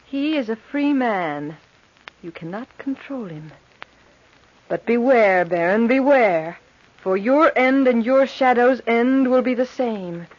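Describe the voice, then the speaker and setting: A woman's voice: a little high, lively intonation. A woman playing a serene, sinister supernatural spinner of fates in an old radio horror drama, murmuring ominously. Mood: ominous warning. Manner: measured, ominous, breathy murmuring. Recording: dull old radio recording.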